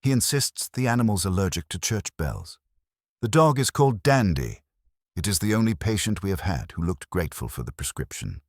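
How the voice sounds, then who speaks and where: Man as a poised, quiet surgery receptionist, subtly animated. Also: low-pitched, fairly quick, no room sound, clean studio sound, voice pushed up. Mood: composed. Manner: quiet, professional, exact.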